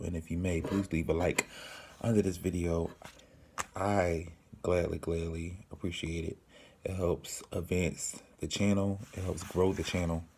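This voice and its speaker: A man in his late twenties hosting his own channel, answering viewer questions in a slow, earnest way with many ums and pauses. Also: deep voice, creaky, warm tone, a little hiss or hum. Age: 20s. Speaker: channel host. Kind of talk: casual Q and A to camera.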